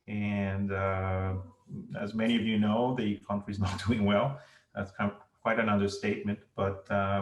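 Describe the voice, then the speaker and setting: A low-pitched man speaks haltingly, restarting his sentences and leaning on fillers. He sounds set back from the mic in an echoey room. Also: recorded from a distance. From Venezuela. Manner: hesitant, many restarts and fillers. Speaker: ophthalmologist guest. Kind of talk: casual interview talk.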